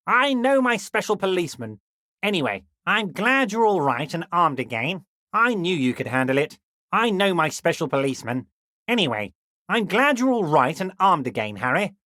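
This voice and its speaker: A smug male union boss from a video game speaks in a high, highly animated voice. He is unctuous and theatrical, dripping with false chumminess and condescension. The sound is close and dry. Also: no room sound, heavily acted. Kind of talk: scripted game character dialogue.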